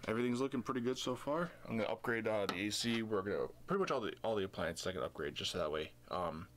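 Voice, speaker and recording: A man's voice: on the low side, fast talker, a bit bright, a little hiss or hum. Casual rambling man vlogging. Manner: loose, rambling with many fillers. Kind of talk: casual walkthrough vlog. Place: inside an RV, then outdoors on its roof. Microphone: handheld camera mic.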